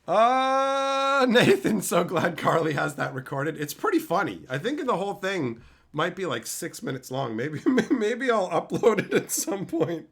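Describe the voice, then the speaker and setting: A man with a loud voice chatting casually on a live stream, swearing and laughing often. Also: high-pitched, very animated, a little slow, gravelly, slightly rough. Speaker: streamer host.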